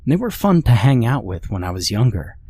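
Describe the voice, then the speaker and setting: A man narrating a scripted first-person horror story in a measured, deliberate way. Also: medium pitch, big pitch swings, mellow, breathy edge, no echo.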